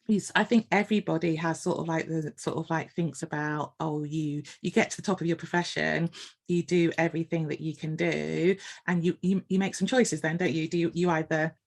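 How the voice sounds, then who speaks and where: An older woman, a nurse hosting a podcast, asking questions in a thoughtful, hesitant way, thinking aloud as she goes. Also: deep voice. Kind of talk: conversational podcast interview.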